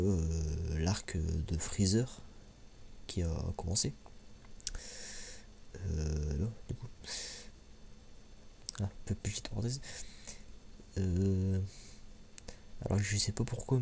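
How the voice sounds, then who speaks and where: A man with a very low, gravelly voice speaks softly, almost whispering at times, in a casual ASMR manner. He is hesitant, trailing through repeats and pauses. The sound is a little muffled, with some background noise. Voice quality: soft, hushed voice, sometimes near whisper. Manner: hesitant, halting, frequent repeats and filler pauses. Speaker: ASMR video creator and host. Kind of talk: soft-spoken ASMR, casual unscripted review. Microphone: microphone held a little away from mouth.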